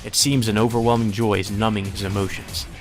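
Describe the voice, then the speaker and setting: A man's voice: fairly low pitch, flat delivery, fairly quick. A man reading visual novel narration aloud as a let's play host. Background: faint music.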